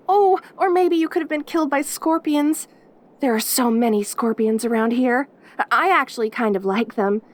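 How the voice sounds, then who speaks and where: A woman's voice: fairly high pitch, normal speed, close to an everyday voice. A woman voicing a perky, lonely caged demon girl in an intimate audio roleplay, with an eager, rambling, stammering manner. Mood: chatty, wistful. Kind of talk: audio roleplay acting, addressing the listener intimately.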